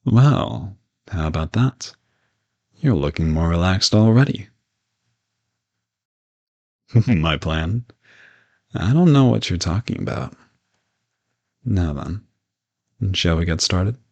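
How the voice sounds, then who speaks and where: A man playing a gentle, courteous old vampire in an intimate audio roleplay, reassuring and lightly teasing as he speaks to the listener. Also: low-pitched, animated, slow, long pauses, highs cut off, heavily acted. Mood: gentle, soothing. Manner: soft-spoken reassurance, politely formal with teasing asides.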